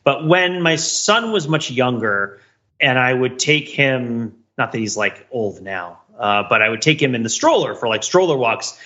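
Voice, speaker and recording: Bright-voiced man on a slightly dull recording. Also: mid-range voice, animated, measured pace. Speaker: podcast co-host. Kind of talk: casual reflective podcast chat.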